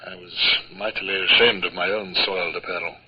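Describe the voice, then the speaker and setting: A man's voice: low voice, steady tone, natural voice. A man playing a formal, resolute naval captain who also narrates, in a 1950s radio drama. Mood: measured narrating. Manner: formal, measured, throat-clearing hesitations. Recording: dull, faintly noisy old radio recording.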